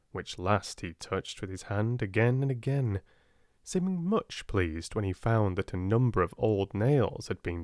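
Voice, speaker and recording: A man with a British accent reading a storybook aloud as an audiobook narrator, softly spoken. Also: on the low side, no echo, clean studio sound.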